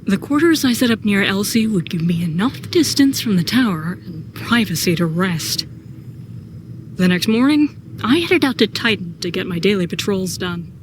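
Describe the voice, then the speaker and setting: A woman's voice: medium pitch, lively intonation, normal speed, close to an everyday voice. A woman narrating a sci-fi audio drama in first person as a troubled, brooding soldier. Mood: anxious. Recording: clear background noise and ambience.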